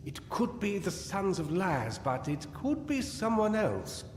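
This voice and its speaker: Fantasy game gnome scientist: slightly high voice, weary and paranoid, theatrical anguish, cavernous reverb. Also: male voice, big pitch swings, very noisy. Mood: anxious. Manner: theatrical, anguished, halting and musing. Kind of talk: dramatic fantasy video game voice acting. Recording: echoey cavern-like reverb over music.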